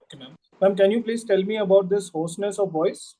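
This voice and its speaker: A man with a high-pitched yet mellow voice takes a patient history in a practice consultation. He is polite and formal, steps hesitantly into each question and keeps addressing the patient as ma'am. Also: a little fast, low-quality recording. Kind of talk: role-played clinical history taking.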